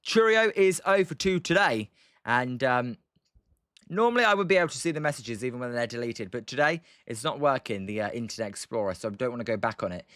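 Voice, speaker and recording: A man's voice: mid-range voice, lively intonation, a little fast, a bit bright, no room sound, studio quality. A young British man hosting a live stream, giving casual game commentary between chat replies, rambling with lots of ums and uhs. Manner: rambling, hesitant, heavy on um and uh.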